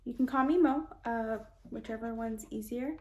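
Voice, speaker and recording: A woman's voice: fairly high pitch, moderate pace. Earnest young woman from Hawaii. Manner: earnest and reflective, with restarts and soft laughs. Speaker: student introducing herself. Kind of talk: self-introduction video for a class.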